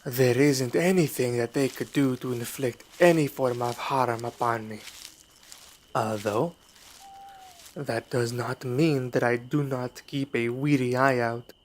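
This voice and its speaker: Man playing a slow-talking, suave, sinister vampire. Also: medium pitch, fairly even intonation, slight background noise, close to an everyday voice. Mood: politely evasive. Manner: formal, courteous, deliberate, quietly menacing. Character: suave, sinister male vampire luring a traveler. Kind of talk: ASMR roleplay monologue. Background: night forest ambience.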